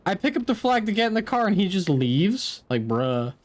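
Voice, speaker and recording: Lively, chatty man streaming a game. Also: sits high, normal speed. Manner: chatty, reacts to the game and reads chat aloud, swears casually. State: sniffly. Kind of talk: casual live-stream chat while gaming.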